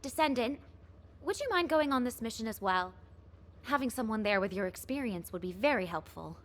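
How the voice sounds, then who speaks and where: A woman's voice: fairly high pitch, expressive, brisk, close to an everyday voice. An actress playing a formal, authoritative woman military officer with a courteous, official manner in a video game. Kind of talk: video game cutscene acting.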